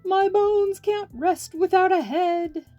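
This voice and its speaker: A woman voicing a headless skeleton with a slow, drawn-out, eerie wail in a children's storybook. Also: very high-pitched, warm tone, dull audio, soft background music, voice pushed up. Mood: mournful. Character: headless skeleton searching for its head.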